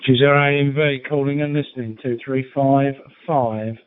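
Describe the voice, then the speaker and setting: A middle-aged or older man chatting as an amateur radio operator, halting and understated, over a radio link. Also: medium pitch, fairly even intonation, no room sound. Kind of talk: casual radio chat. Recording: narrow-band, phone-like radio link.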